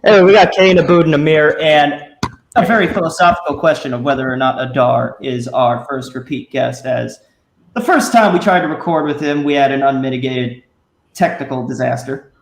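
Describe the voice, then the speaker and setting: A man's voice: fairly high pitch, fairly quick, vocal fry, a little hiss or hum. Joking male podcast host, roomy sound. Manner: joking, playful, laughs easily. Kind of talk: casual podcast chat. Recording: roomy echo.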